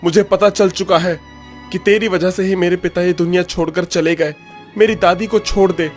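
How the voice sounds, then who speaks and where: A young fisherman, voiced by a man, speaks in a raised, earnest voice full of grief and anger. His pitch sits above his usual range. Faint music sits behind the scene. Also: steady tone, normal speed, lightly acted. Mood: furious. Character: young fisherman, grieving and angry.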